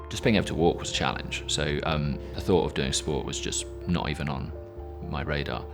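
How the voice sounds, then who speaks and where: An English man with a very low, deep voice talks in an earnest, reflective way. Music plays clearly behind him as he speaks in a documentary interview. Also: normal speed. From England. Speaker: climber with haemophilia.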